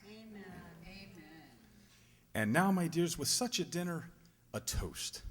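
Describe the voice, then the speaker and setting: An actor playing a meek, kindly father and poor clerk in a radio drama, husky and gently fervent. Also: on the high side, big pitch swings, slow. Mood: reverent, toasting. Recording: reverberant hall recording.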